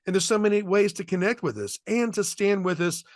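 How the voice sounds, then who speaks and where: Man, slightly high voice, dry close sound, upbeat donation pitch. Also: brisk, no echo. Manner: salesy, upbeat, addresses viewers directly. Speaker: co-host. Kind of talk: fundraising appeal.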